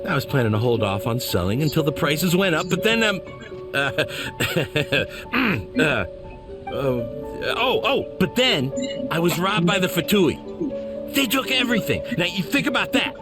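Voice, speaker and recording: A jittery game merchant, stammering through his defense in a high, jumpy voice. Music plays clearly behind him. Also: very animated, a little slow. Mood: flustered. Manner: stammering, flustered. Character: nervous male merchant accused of a crime.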